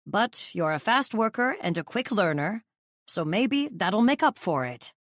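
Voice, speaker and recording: A woman's voice: mid-range voice, very animated, a little slow, fairly bright tone, no room sound, studio quality. A woman playing an anxious, wry young assistant, narrating a first-person romance audiobook in a dramatic, self-deprecating way.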